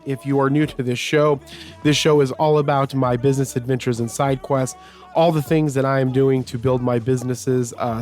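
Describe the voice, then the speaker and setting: A man hosting his own podcast, talking casually and a bit slightly raspy from a fever, with lots of fillers and restarts. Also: mid-range voice, darkish tone. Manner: rambling, many fillers and restarts. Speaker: podcast host. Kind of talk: casual solo podcast monologue.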